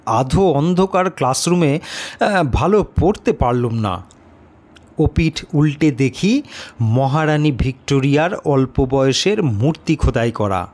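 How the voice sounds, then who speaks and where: A man's voice: mid-range voice, expressive, measured pace, a little mellow. A man narrating a literary story in the first person, reading from a script.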